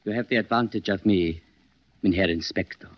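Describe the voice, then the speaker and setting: An actor playing a cool, disdainful suspect in a 1940s radio mystery. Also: male voice, sits low, moderate pace, natural voice. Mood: coolly resigned. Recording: noisy, muffled 1940s radio recording.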